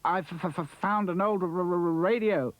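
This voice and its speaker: A man's voice: high-pitched, moderate pace, pitched above the performer's own voice, lightly acted. A man voicing a timid male toy with a heavy stammer. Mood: eager.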